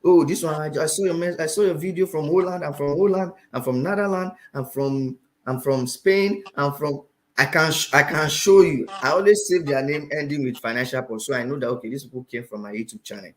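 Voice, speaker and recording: Nigerian man, interview guest, finance teacher, fairly high voice, animated and repetitive. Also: brisk, slight background noise. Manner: animated, repeats phrases for emphasis. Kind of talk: interview talk.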